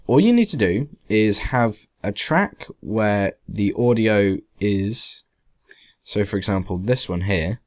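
Guy doing a casual software walkthrough, voice goes up and down a lot, bit wry. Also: on the low side, expressive, slow pace. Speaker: tutorial presenter. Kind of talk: informal screen-recorded software tutorial. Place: voice-over to a screen capture.

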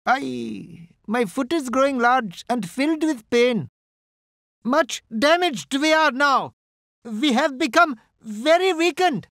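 A man's voice: extremely high pitch, expressive, takes it slowly, fairly bright tone, dry recording, clean studio sound, full character voice. A man voicing a British Empire artillery soldier from India, giving stiff, oddly worded reports. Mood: dutiful. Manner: formal, oddly phrased reports. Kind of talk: game unit voice lines.

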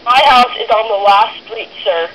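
A man's voice: high-pitched, normal speed, pushed and bright, a little hiss or hum. A man on a phone call who talks politely and formally, asks many questions, and sounds suspicious. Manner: polite and formal, calls the caller sir. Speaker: prank call target. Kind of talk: phone conversation. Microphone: heard through a phone speaker.

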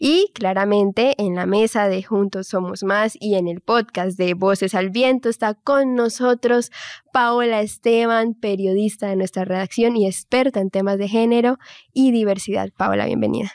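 Female podcast host, organized and welcoming, mid-pitched, dry studio mic. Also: moderate pace, a little mellow, dry recording. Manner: welcoming, structured presenter. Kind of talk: hosting a video podcast.

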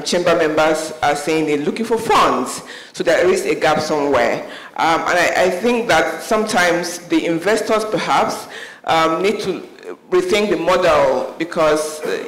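A Nigerian woman from the audience making a measured, thoughtful comment at a conference. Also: on the low side. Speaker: audience member asking a question. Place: large conference hall. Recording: echoey conference hall.

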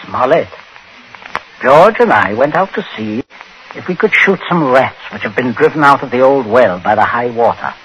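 A man acting in a 1940s radio drama as a coldly menacing patriarch who speaks deliberately, precisely and with command. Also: medium pitch, expressive, fairly bright tone, lo-fi sound, close to an everyday voice. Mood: falsely genial menace. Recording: noisy old radio recording.

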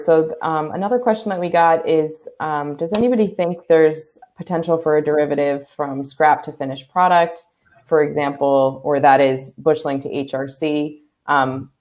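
A woman moderating a webinar panel over a video call, relaxed and casual, often pausing with "um". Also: sits low. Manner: relaxed, casual, hesitant with ums.

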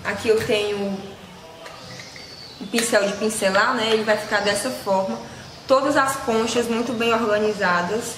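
A woman's voice: on the high side, steady tone, normal speed. A woman, casually presenting products to camera as a vlogger and seller. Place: small indoor room with shelves. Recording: echoey room with loud background noise.

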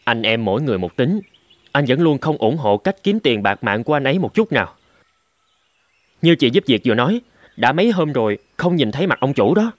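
A man voicing a mild, home-loving young husband in a cartoon drama, gently explaining himself. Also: medium pitch, big pitch swings, fairly quick, lightly acted. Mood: grumbling.

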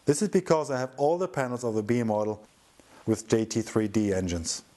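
A man's voice: on the low side, brisk, dark tone. A middle-aged man giving scripted voice-over narration about his own restoration project.

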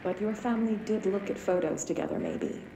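An actress playing a calm female interviewer who asks steady, probing questions about childhood. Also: medium pitch, a little fast, creaky. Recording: echoey room with faint noise.

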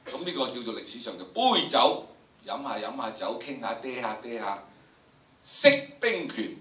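Casting: man in his sixties, Hong Kong Cantonese, bright forceful lecturer, theatrical delivery, roomy hall sound. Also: medium pitch, sing-song, moderate pace. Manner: theatrical, emphatic, rhetorical questions. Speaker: lecturer, drama educator. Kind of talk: animated lecture to students. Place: large indoor lecture theatre. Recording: echoey lecture hall, distant camera microphone.

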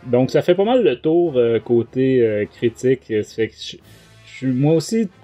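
A man's voice: mid-range voice, big pitch swings, moderate pace, mellow, dull audio, soft background music. A man with a Quebec French accent hosting a casual book review podcast, talking in a hesitant way. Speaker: podcast host.

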